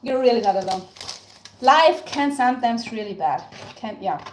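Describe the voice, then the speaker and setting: A woman's voice: a little high, animated, normal speed. A young woman from Tyrol with an Austrian German accent, hosting a casual live stream while baking and chatting with viewers. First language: German (Tyrolean dialect). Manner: chatty, answers viewer comments, thanks them often. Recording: echoey room with background noise.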